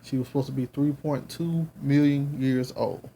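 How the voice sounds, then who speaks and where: Southern guy doing a bible lesson from home, earnest, lots of ums, low gravelly voice. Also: fairly even intonation, normal speed, warm tone, slight background noise, rough recording. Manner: earnest, rambling, many fillers and restarts. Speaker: Bible teacher. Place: home with children and babies around.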